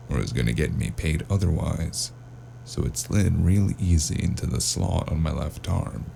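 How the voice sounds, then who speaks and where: Man acting a very deep, gravelly noir investigator. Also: warm tone, slight background noise, exaggerated voice. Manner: wry, hard-boiled storytelling. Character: hard-boiled male cyberpunk noir investigator with magic, wry and weary. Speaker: narrator and lead character. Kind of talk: noir audio drama narration and dialogue.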